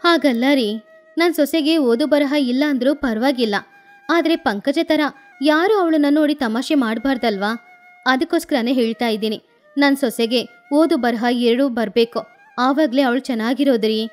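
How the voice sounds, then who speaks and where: A woman's voice: sits high, normal speed, soft background music, natural voice. A woman playing a proud, shrewd middle-aged village mother-in-law with a firm, emphatic manner in a story. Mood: insistent. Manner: firm, emphatic, defensive of family.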